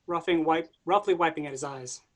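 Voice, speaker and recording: A man reading stage directions aloud in a plain, even way during a staged reading. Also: on the high side, brisk.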